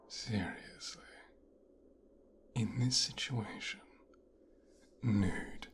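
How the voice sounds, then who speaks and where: A man playing a menacing, possessive, resentful mafia boss's son in an intimate ASMR roleplay, speaking in a breathy, brooding, teasing hush. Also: fairly low pitch. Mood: mocking, amused. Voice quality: breathy, whispered. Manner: slow, hushed, brooding, teasing.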